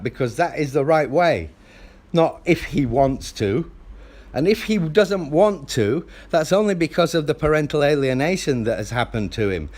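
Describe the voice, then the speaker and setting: A father on a phone call, arguing in a loud, insistent, lecturing way with the phone held close to his mouth. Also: on the high side. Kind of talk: heated phone argument.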